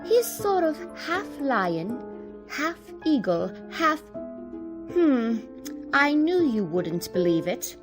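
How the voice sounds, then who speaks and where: Imperious high-pitched storybook queen. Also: exaggerated voice. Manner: bossy, commanding, haughty. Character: imperious, ill-tempered Queen, quick to order executions. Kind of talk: storybook radio play acting. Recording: music under the voice.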